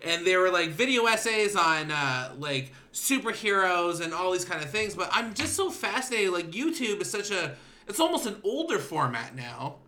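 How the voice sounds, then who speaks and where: A man hosting a casual podcast chat, animated and joking, laughing often. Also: high-pitched, normal speed, a little hiss or hum. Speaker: podcast host.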